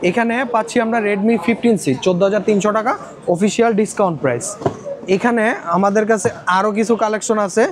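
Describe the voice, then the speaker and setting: A Bangladeshi man sells phones on camera in a rapid, high voice. He lists models and prices one after another, often repeating the figures. The chatter of a busy shopping complex is clearly heard behind him. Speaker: phone shop seller presenting stock and prices. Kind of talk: sales pitch to viewers in a shop walkthrough. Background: crowd chatter.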